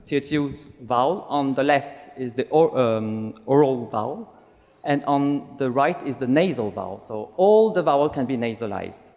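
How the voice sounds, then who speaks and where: A man's voice: medium pitch, expressive, moderate pace, a little mellow. A man with a Taiwanese accent, from Cambodia, patiently explaining vowels and consonants one sound at a time in a recorded lesson. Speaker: language teacher in a recorded video. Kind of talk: slow methodical explanation. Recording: dull, reverberant played-back video audio.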